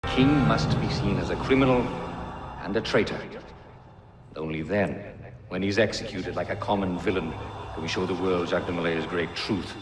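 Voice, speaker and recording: Brief: male actor as a very deep-voiced, menacing villain, roomy echo. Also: moderate pace, over music, lightly acted. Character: sinister, commanding male grandmaster of a secret order. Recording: echoey room.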